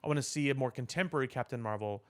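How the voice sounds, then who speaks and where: A man co-hosting a podcast, talking casually about pop culture in halting, deliberate, explanatory phrases. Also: mid-range voice. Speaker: podcast co-host.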